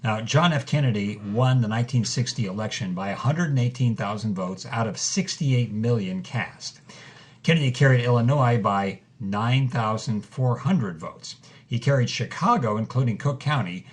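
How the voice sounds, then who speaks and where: An actor playing an earnest, inquisitive interviewer who lays out facts precisely and methodically in a dramatised interview. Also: male voice, medium pitch, animated, normal speed, lightly acted. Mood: probing, methodical. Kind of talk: dramatised interview, measured exposition.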